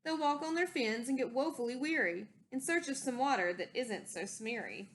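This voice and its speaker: A woman's voice: sits high, normal speed, a little hiss or hum, natural voice. A woman voicing an indignant old forest creature from a storybook, scolding emphatically in rhyme. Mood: angry, scolding.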